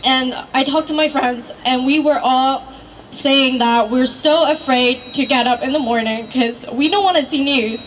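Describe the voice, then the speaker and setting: High-voiced young woman. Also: normal speed. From Hong Kong. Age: 20s. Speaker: rally speaker. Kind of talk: personal speech at a rally. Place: outdoor city street rally. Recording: dull outdoor recording, faint noise.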